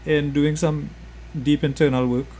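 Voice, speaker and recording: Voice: medium pitch, flat delivery, a little slow, warm tone, a little hiss or hum. A podcast host asking questions in a supportive, casual way with many fillers. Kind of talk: conversational interview.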